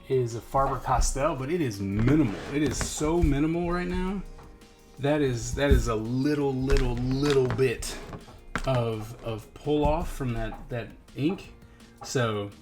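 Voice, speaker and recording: A man's voice: a little high, a little mellow. A man giving a casual hands-on product review, thinking aloud informally and repeating himself as he muses. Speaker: host of an art supply review series. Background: background music.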